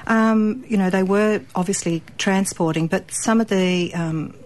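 A woman's voice: mid-range voice, a little slow, darkish tone. An Australian woman guest on a radio show, explaining earnestly with frequent ums and restarts. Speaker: pet expert guest. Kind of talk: radio interview.

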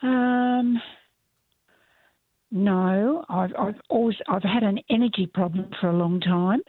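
A woman with a croaky, hoarse voice, calling in to a radio show, listing her problems with many fillers. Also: mid-range voice, takes it slowly, dry recording. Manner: lists details with many fillers. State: frustrated. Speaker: radio caller. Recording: phone line.

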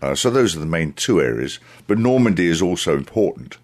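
A man answering interview questions as a tour guide, speaking in a deliberate, halting, conversational way. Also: sits low, big pitch swings. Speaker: tour guide, radio guest.

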